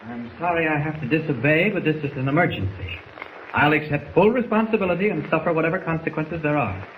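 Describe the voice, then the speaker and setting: Man acting a resolute young reporter, higher-pitched. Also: quick pace, lightly acted. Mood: urgent, insistent. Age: young adult. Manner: courteous but insistent. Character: bold, polite young male reporter in disguise, resolute. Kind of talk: 1940s radio drama acting. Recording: dull, faintly noisy vintage radio recording.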